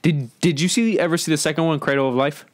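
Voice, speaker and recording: A man's voice: on the high side, quick pace. A man hosting a casual, opinionated film discussion among friends in informal, slangy speech. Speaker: host of an entertainment discussion show.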